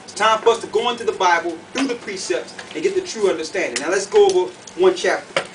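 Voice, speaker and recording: A man preaching to a group in an animated, colloquial way, emphatic and full of rhetorical questions. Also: high voice, fast talker, recorded from a distance. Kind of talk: animated sermon with questions to the audience. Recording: noisy room, picked up from afar.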